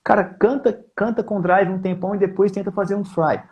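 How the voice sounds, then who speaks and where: Male Brazilian vocal teacher, high-pitched voice, informal, emphatic, conversational technical explanations. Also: steady tone, a little fast, lo-fi sound. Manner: informal, emphatic, repeats key points. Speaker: singing teacher, guest.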